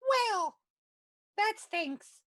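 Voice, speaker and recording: A tiny childlike fish puppet, extremely high and bright. The little anchovy speaks slowly and innocently, full of naive questions, as in a children's puppet show. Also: female voice, lively intonation, full character voice. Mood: glum. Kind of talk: children's puppet show acting, play reading.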